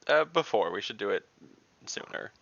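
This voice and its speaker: A man plays in an online tabletop game, chatting casually with a wry manner. His voice is lowish and creaky with animated swings, on slightly dull audio. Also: moderate pace, no echo.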